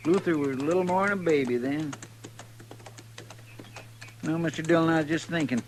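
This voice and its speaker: Folksy deputy sidekick male actor, rural American, higher-pitched, earnest and deferential, vintage radio western. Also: moderate pace, slight background noise, lightly acted. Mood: wistful.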